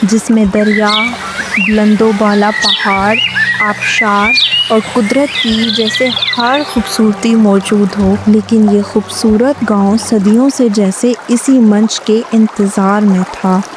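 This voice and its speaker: A woman's voice: medium pitch, steady tone, takes it slowly, heavy background noise. A woman narrating a scripted story as the storyteller.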